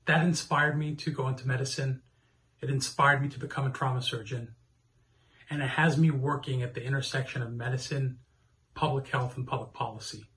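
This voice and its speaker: A man's voice: mid-range voice, steady tone, brisk, fairly bright tone. A man who is a trauma surgeon, giving an earnest, serious statement.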